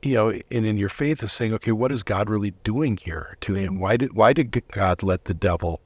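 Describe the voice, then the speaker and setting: Low-pitched man talking in a dry room. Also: a little fast, breathy edge, no room sound. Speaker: interview guest, volunteer Bible reader. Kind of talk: conversational interview answers.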